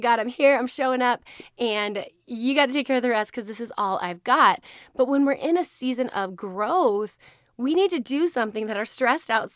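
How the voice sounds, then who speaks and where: A hoarse woman hosting her podcast in a casual, chatty way, laughing often. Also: on the high side, dry recording, clean studio sound. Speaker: podcast host. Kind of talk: casual chat.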